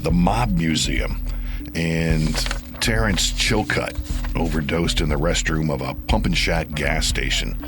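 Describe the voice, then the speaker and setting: An actor playing a modest, earnest detective in an audio drama, speaking with some hesitation. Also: male voice, extremely low pitch, measured pace, music in the background. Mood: modest, businesslike. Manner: hesitant, restarting. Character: modest, earnest homicide detective turned demon hunter.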